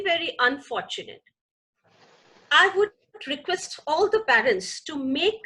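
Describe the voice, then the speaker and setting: A woman's voice: high-pitched, sharp tone, rough recording. A middle-aged woman with an Indian English accent, a native Gujarati speaker, answering a parenting question earnestly and with repeated emphasis. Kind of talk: answering audience questions in an online session.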